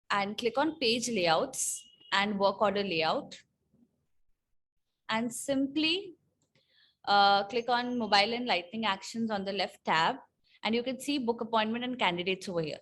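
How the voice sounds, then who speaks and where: A woman presenting an unscripted software tutorial, explaining step by step in a somewhat halting, hesitant way. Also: mid-range voice. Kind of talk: unscripted screen-share walkthrough.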